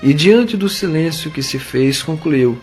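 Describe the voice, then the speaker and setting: A man with a Brazilian accent giving solemn, measured dramatic narration for an audio novel. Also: on the high side, animated, darkish tone, soft background music.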